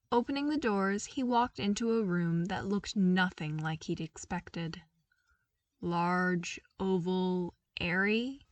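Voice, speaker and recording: Female narrator, medium pitch, scripted storytelling, dry sound, a little way from the mic. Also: no room sound, recorded from a distance. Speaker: podfic narrator.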